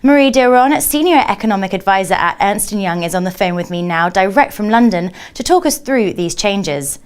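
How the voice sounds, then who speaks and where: A woman's voice: mid-range voice, animated, brisk, smooth. A woman working as a television journalist, delivering scripted questions in a broadcast news interview.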